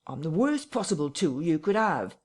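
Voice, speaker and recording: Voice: mid-range voice, very animated, normal speed, slightly rough, voice pushed up. A reader voicing a frightened, self-pitying tramp, plaintive and close to tears. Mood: whining. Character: whining frightened tramp, middle-aged man.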